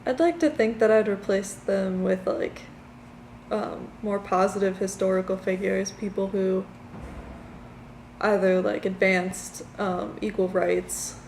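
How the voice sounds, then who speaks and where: Gravelly-voiced young woman, thoughtful. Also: medium pitch, fairly even intonation, measured pace, a little mellow, echoey room, a little hiss or hum, low-quality recording. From Virginia. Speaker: interviewee. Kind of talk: casual street interview.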